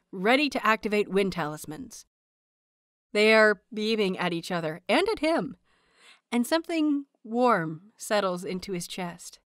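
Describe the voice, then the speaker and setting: A woman reading a scripted story aloud as an expressive audiobook narrator. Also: fairly high pitch, no room sound, studio quality.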